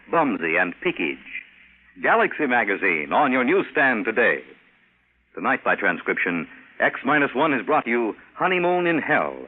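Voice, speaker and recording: A man's voice: mid-range voice, phone-quality sound, slight background noise. A man announcing from a script in the style of 1950s network radio. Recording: thin vintage radio broadcast with music.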